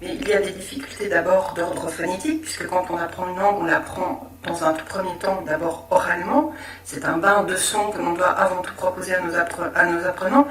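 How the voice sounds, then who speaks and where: A woman's voice: on the low side, reverberant, distant mic. A woman teacher describing her classroom method, explaining and correcting herself as she goes. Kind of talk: interview testimony.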